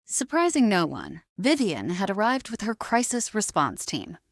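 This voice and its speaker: A woman reading scripted dramatic fiction as narrator, in a measured storytelling manner. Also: medium pitch, huge pitch swings, no room sound, clean studio sound.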